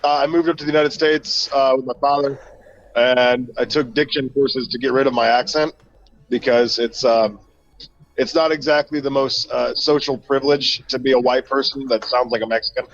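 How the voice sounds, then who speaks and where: A man from Argentina chatting casually as a guest, speaking in a measured, dry way while driving. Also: mid-range voice, fairly even intonation, soft background music. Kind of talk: casual chat. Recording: dull lo-fi sound.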